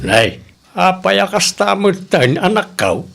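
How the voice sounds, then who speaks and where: A man's voice: high-pitched, very animated, slow pace, vocal fry, loud music behind the voice, exaggerated voice. A man playing a stern, worried father in an amateur audio drama, scolding and admonishing in an Ilocano accent. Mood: worried nagging. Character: stern, worried, nagging father of a grown daughter.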